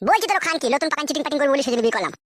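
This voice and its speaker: A performer voicing an exasperated, crude village husband in a comedy cartoon, a pitched-up, sped-up voice and a blustering, coaxing manner. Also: animated, racing, a bit bright, no echo. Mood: angry. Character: exasperated, crude village husband caught between two wives.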